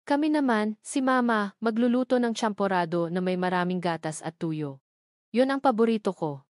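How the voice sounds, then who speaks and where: Woman narrator as caring young wife, Filipino accent, tender, shy, earnest, mid-pitched, romance audio drama. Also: animated, moderate pace, darkish tone, no echo, clean studio sound, natural voice. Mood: happy nostalgia.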